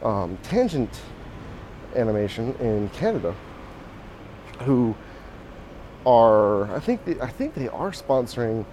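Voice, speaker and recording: A hoarse man hosting a podcast, talking casually while walking outdoors, rambling with restarts and small chuckles. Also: medium pitch, sing-song, slow, pauses often, dark tone. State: hoarse, voice mostly shot. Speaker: podcast host. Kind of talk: casual walk-and-talk monologue. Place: outdoors on a city street. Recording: faint outdoor street noise. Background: street and traffic noise.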